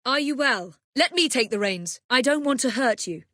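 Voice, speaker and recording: Game role: young female English assassin and gang leader of the Victorian era. High, expressive, crisp, wry, in command; dry studio sound. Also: normal speed, dry recording. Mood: dry, calm. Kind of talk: video game voice lines.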